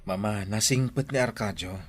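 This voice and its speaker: A man's voice: fairly low pitch, moderate pace, breathy edge. A man acting an earnest, protective grown son in an Ilocano radio drama. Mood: earnest, persuading.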